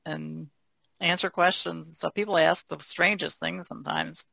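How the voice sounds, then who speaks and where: A woman's voice: lowish voice, fairly even intonation, measured pace, creaky, pushed and bright, dry recording, studio quality. A woman artist chatting casually on a podcast, informal and hedging as she goes. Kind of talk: casual chat.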